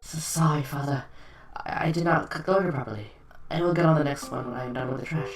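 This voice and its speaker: A man voices a courteous, earnest bartender from a comic dub, with creaky edges to his voice. He speaks formally and a little hesitantly. The sound is roomy and set back from the mic, with music underneath. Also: a little high, quick pace, natural voice. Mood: apologetic. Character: polite, apologetic, earnest young male bartender with formal speech. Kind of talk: fan comic dub, dramatic acting with reflective narration. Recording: roomy, distant microphone, music behind.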